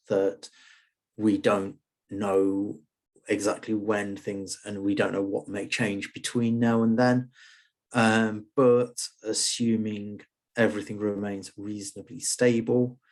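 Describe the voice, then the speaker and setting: A man hosts an online user group meetup over a video call, running through announcements casually. His voice sits somewhat low. He talks in a halting way, with frequent pauses and restarts. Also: darkish tone. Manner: casual, hesitant, many fillers and restarts. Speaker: user group organiser and host.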